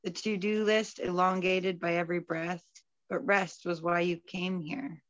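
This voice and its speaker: A woman's voice: lowish voice, level tone, a little slow, a bit bright, no echo. A woman, a poet at an open mic, speaking over a video call from home. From Alberta, Canada. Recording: dull, lo-fi video call audio.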